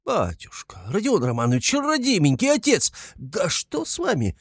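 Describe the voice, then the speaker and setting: A man's voice: mid-range voice, expressive, a little slow, a little mellow, dry recording, studio quality. A man playing a wily, fussy police investigator from a classic novel, falsely fatherly, rambling and coaxing in an obsequious way. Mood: alarmed.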